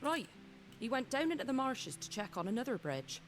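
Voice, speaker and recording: An actress playing a wary, plain-spoken innkeeper in a video game. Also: female voice, sits high, sing-song, normal speed, faint music behind. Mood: helpful. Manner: guarded, plain-spoken, folksy. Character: wary, blunt woman innkeeper. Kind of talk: game dialogue.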